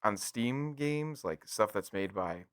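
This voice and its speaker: Young male podcast co-host, lowish pitch with a sharp, forward tone; casual, animated chat. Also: takes it slowly. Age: young adult.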